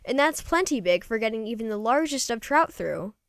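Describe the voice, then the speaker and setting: Woman as a puzzled fisherman, animated. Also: a little high, brisk, clear, resonant tone, no echo, clean studio sound. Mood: baffled. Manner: baffled, indignant questioning. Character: puzzled, proud small-time male fisherman.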